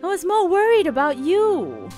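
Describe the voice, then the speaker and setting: A woman voicing a feisty, no-nonsense old grandmother in a comic dub, brisk, bossy and folksy. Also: extremely high pitch, huge pitch swings, a little mellow, full character voice. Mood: reassuring. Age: older. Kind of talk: fan comic dub voice acting. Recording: music behind the voice.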